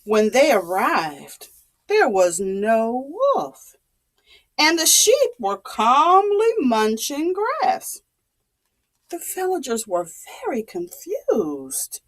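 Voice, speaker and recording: A woman telling a story to young children in a dramatic, expressive, deliberate way. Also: fairly high pitch.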